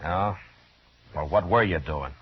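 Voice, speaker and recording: An American man playing a hard-bitten, weary frontier marshal in a 1950s radio western, terse, commanding and deadpan. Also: low voice, a little slow, slightly rough. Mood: stern. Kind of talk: 1950s radio western acting and first-person narration. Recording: dull vintage radio recording, music behind.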